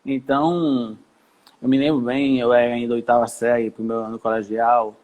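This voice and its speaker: A man's voice: mid-range voice, normal speed, phone-quality sound. A man speaks on a video call. He tells his story in a loose, rambling way, restarting his sentences often. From Brazil, Salvador. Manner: relaxed, rambling, many restarts. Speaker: federal judge. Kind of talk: live-stream interview, telling his career story.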